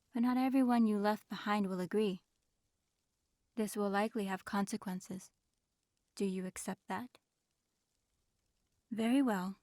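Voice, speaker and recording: Audio roleplay: proud, aloof dragoness in human guise, played by a woman, mid-range voice, measured formal speech, dry studio-close sound. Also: mid-range voice, mellow, no echo, close to an everyday voice. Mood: solemn, softening. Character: proud, reserved female dragon in human form. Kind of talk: audio roleplay acting, addressing the listener.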